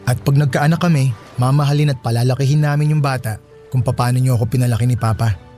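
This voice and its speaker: A warm, dark-toned young male voice of medium pitch. A man plays a respectful, hopeful student son in radio drama, earnest in every line, with quiet music behind. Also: steady tone, fast talker, close to an everyday voice. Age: young adult. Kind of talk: Filipino radio drama acting.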